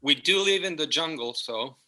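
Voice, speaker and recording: A man from Argentina, a native Spanish speaker with an Argentine accent, giving unhurried, reflective interview answers over a video call, often restarting his sentences. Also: a little high, lively intonation, bright, forceful tone, low-quality recording. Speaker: guest, property management partner. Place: home in the jungle.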